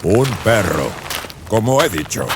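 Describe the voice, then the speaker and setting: Guy doing a calm young army officer, firm and measured, audiobook drama, noisy behind him. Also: fairly low pitch, voice pushed up.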